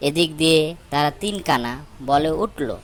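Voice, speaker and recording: A man's voice: on the high side, expressive, a little slow. A man working as a storyteller, reading a scripted fable for children.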